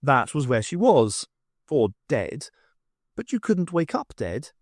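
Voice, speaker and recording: A man reading a novel aloud as an expressive audiobook narrator, in an animated storytelling manner. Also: a little high, takes it slowly, dry recording, studio quality. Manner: animated storytelling with acted dialogue.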